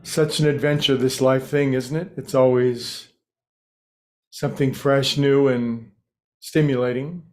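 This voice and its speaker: A man leading a guided meditation, speaking in short, unhurried phrases with pauses between them. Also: mid-range voice, fairly even intonation.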